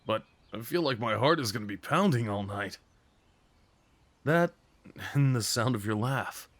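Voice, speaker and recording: A man acting as a big, gentle guy on a first date, in a mid-pitched voice that swings widely up and down. He is sweet and a little nervous, teasing playfully with boyish enthusiasm in an intimate ASMR roleplay. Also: expressive, unhurried. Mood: shyly playful. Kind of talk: ASMR roleplay audio drama, one-sided conversation.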